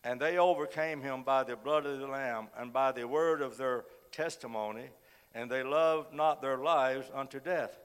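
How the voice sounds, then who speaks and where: A man with a cold, hoarse as he preaches a sermon, reads scripture and says frequent amens. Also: medium pitch, normal speed. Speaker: guest preacher. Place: church sanctuary. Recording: long reverb of a church hall.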